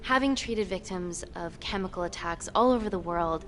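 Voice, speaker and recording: An actress playing a composed, authoritative scientist in a live-action game drama. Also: female voice, lowish voice, normal speed, a bit bright, soft background music, close to an everyday voice. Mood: assured presenting. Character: composed, authoritative female scientist presenting her research.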